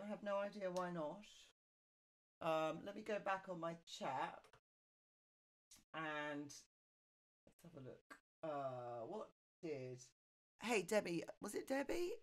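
A middle-aged woman with a low voice, casually chatting to viewers on her live request stream and muttering quietly to herself, close on a studio mic. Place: home music room, indoors. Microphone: studio condenser mic on boom arm, wearing headphones.

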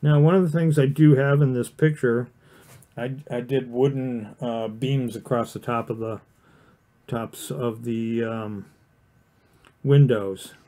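A man's voice: mid-range voice, steady tone, takes it slowly, mellow. A man teaching watercolor painting on a casual livestream, chatting and rambling with many fillers and restarts while he works. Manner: talks while painting, plain and encouraging. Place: indoor studio with overhead camera on the painting desk.

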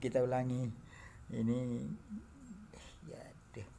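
Guy doing a casual workbench demo on his phone, slow and halting, kinda creaky voice, mutters to himself. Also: medium pitch, completely flat delivery, a crowd in the background. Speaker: hobbyist electronics builder demonstrating circuits. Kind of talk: informal hands-on demo narration. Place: indoor home workbench. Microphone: handheld phone.

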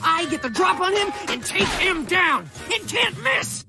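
A man's voice: extremely high pitch, big pitch swings, a little slow, gravelly, exaggerated voice. A man voicing a cocky, obsessive teenage boy superhero in a cartoon, with an intense, dramatic delivery. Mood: scheming. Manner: intense, self-important, dramatic. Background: loud cartoon music.

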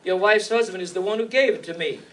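An actor playing a slangy, sardonic hard-boiled hero who narrates a 1950s radio noir, recorded live in a hall. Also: male voice, high-pitched, fast, fairly bright tone, recorded from a distance, slight background noise. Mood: wry, tough. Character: wisecracking, tough hard-boiled gumshoe and narrator. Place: stage in an indoor meeting hall, live reading.

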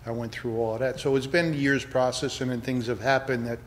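Brief: mid-pitched man, plain applicant at a hearing, light room hiss. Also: steady tone, a little fast. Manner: brief, matter-of-fact answers. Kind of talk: answering a board. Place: town hall meeting room.

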